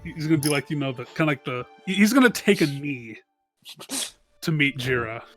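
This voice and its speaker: A man's voice: fairly high pitch, lively intonation, a little fast. A man casually describing his character's actions as a player in a tabletop game. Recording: music playing behind.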